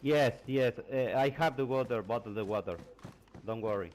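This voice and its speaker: A man with an Argentine Spanish accent, Spanish his first tongue, speaks simple English through a headset in game voice chat. He pieces sentences together slowly and repeats short phrases. A light hiss of noise sits under his voice. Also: mid-range voice, poor audio. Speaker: new player on a game server.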